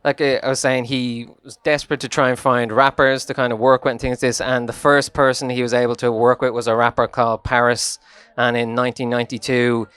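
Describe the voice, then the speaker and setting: Irish producer guy explaining stuff, steady and flat, dry close sound. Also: mid-range voice, smooth, dry recording. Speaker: guest hip-hop producer. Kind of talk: casual chat at a live listening event.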